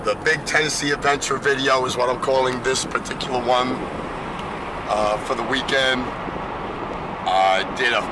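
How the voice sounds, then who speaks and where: A man in his fifties talks casually to his vlog audience in a hoarse, mid-pitched voice. His delivery is folksy and chatty. Clear noise from traffic and the outdoors runs behind him. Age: 50s. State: hoarse, losing voice. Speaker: vlogger. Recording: noisy car and outdoor ambience.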